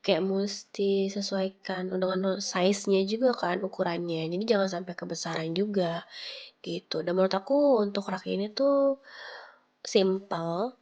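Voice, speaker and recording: A woman's voice: medium pitch, fairly even intonation, measured pace, darkish tone, highs cut off. A young woman with a stuffy, nasal voice from a cold, narrating a home vlog in a casual, conversational way, often searching for words. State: sick with flu and sore throat. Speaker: home vlogger. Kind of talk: casual chatty vlog voice-over.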